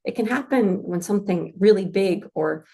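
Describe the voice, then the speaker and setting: A woman's voice: medium pitch, normal speed, mellow. A woman with a North American accent presenting a pre-departure information session for students going abroad, friendly and informative with frequent fillers. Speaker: international office staff presenter.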